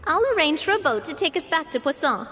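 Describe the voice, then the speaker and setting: A woman's voice: high voice, big pitch swings, quick pace, pushed and bright, full character voice. A woman playing an upbeat young female guild boss, protective of her people, in a video game. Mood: cheerful, reassuring. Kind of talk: English game voice acting.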